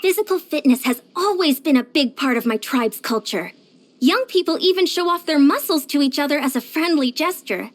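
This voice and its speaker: Game role: pint-sized youthful girl trainer, high and animated, peppy, earnest motivator. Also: moderate pace. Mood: matter-of-fact, proud. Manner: peppy, encouraging, earnest, self-disciplined. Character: short, youthful, upbeat female fitness coach of superhuman strength. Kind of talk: video game character voice lines.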